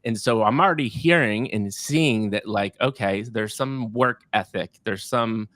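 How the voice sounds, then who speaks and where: Upbeat, low-voiced male podcast host. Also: unhurried, no room sound. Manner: energetic, effusive, repeats words when excited. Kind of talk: enthusiastic podcast interviewing.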